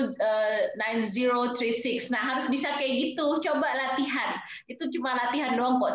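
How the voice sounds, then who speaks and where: A woman from Indonesia, around her thirties, gives a chatty lecture to nursing students, slipping into English terms and quizzing the class. Her voice sits a bit high. The recording is dull and lo-fi, with heavy room echo. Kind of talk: online class lecture mixing two languages. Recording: very echoey, dull lo-fi sound.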